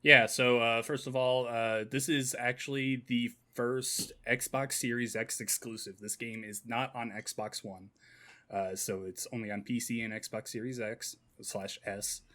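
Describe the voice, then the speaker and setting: A man's voice: mid-range voice, little pitch movement, unhurried, pushed and bright. Casual, enthusiastic man on a podcast. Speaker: podcast panelist. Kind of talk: casual chat about games and movies.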